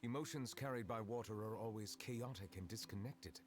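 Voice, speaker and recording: An actor playing a dignified, reserved dragon judge in a video game, speaking formally and with restraint. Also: male voice, lowish voice, fairly quick, natural voice. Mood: reserved, measured.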